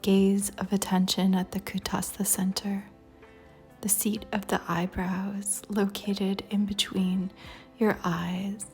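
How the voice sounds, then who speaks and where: A woman guiding a meditation in a soft, breathy near-whisper, calm and soothing, with long pauses between phrases. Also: medium pitch. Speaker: meditation guide. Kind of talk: guided meditation. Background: soft music.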